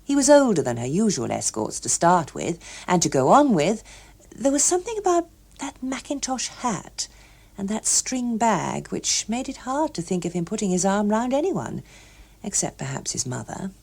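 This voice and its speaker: A woman's voice: medium pitch, sing-song, normal speed, creaky, darkish tone, breathy edge. A woman with an English accent reading a story expressively as an audiobook narrator.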